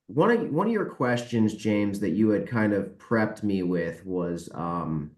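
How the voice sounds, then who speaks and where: A man's voice: fairly low pitch, lively intonation, a little slow, darkish tone. A man giving blunt, explanatory answers in a casual interview as a podcast guest, recorded in an echoey room. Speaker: guest, book publisher.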